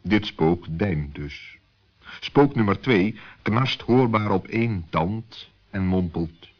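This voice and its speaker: A male storyteller reads a children's tale in a fairly low voice with lively, swooping intonation. He builds suspense expressively, on a dull, lo-fi old recording. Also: takes it slowly. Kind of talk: scripted children's story narration.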